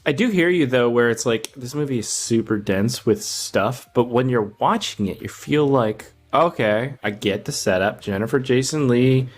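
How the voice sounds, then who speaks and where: A man's voice: medium pitch, moderate pace, hardly any pauses, soft background music. A man co-hosting a podcast, casually discussing film in a rambling way, often searching for words. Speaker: podcast co-host.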